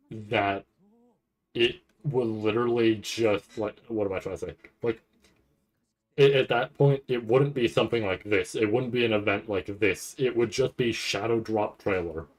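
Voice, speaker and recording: A man giving casual, rambling live commentary as a streamer, halting and restarting often as he thinks aloud. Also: lowish voice, rough recording. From Canada. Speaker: streamer reacting to a live anime event.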